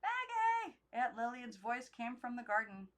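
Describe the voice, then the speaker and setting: A young adult woman narrates a children's novel. Her voice sits at a medium pitch. She reads expressively and without polish, sometimes correcting herself as she goes. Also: moderate pace. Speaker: amateur book reader. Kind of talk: unedited storybook read-aloud.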